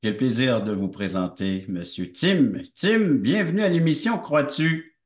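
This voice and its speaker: Lively male show host, echoey room. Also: mid-range voice, a little slow. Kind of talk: hosting an interview.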